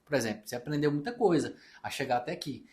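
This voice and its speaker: A man from Brazil speaks fast and with a raised pitch, in a relaxed podcast chat. He tells stories from his working life and acts out what each person said. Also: a little hiss or hum. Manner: fast-talking, storytelling, reenacts dialogue. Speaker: accountant and business partner. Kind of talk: casual podcast conversation.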